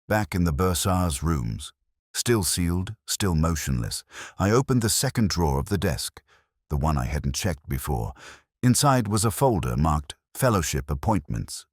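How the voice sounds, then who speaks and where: Very deep, brooding detective played by a man. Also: slightly rough, no room sound, studio quality, full character voice. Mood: quietly intent. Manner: measured, reflective, literary phrasing. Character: brooding, cerebral male police detective narrating his case. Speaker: narrator. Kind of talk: scripted first-person detective story narration.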